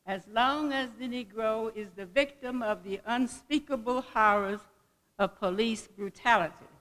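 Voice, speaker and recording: A woman delivers oratory slowly, phrase by phrase. Her voice is a bit high and trails into the long echo of a big hall. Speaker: guest reader at a commemorative event. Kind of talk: dramatic speech reading, oratory. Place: large indoor hall at a college event.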